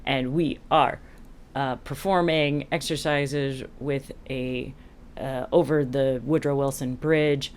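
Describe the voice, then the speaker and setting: A woman talking casually as a boat captain and vlog host, explaining things candidly with self-deprecating, animated asides. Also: a bass voice, slow, smooth.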